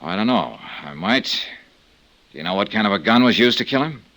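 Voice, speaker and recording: An actor playing a cool, wry, hard-boiled insurance investigator in an old-time radio detective show, with clipped, probing delivery. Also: male voice, fairly low pitch, lively intonation, a little fast, fairly bright tone. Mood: wry, guarded.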